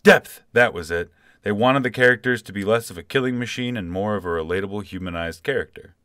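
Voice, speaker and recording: A man's voice: on the low side, fairly quick, fairly bright tone, dry recording, clean studio sound, lightly acted. A man playing a callous, smug, nonchalant company boss in a comic audio drama. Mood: matter-of-fact, obtuse. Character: callous, self-satisfied male video game company CEO.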